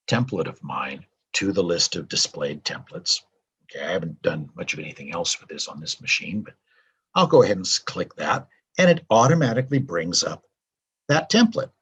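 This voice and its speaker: An older man, a translator at his home desk, casually talking through a software tutorial with many ums and uhs. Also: deep voice, slightly rough. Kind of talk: casual screen-recorded tutorial.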